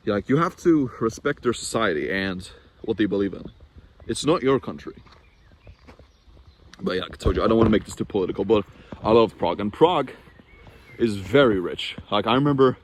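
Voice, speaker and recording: A man's voice: lowish voice, a little hiss or hum. A young man from Norway talking casually to camera in a vlog, rambling and chatty, with frequent restarts and fillers. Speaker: vlogger.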